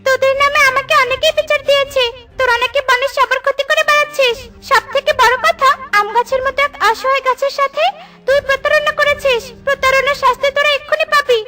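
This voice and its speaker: Peacock queen for a cartoon, female performer: extremely high, sharp, stern and commanding, quick to threaten. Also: steady tone, faint music behind. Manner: imperious, scolding, threatening. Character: stern, outraged peacock queen ruling the forest. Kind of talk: cartoon storybook acting.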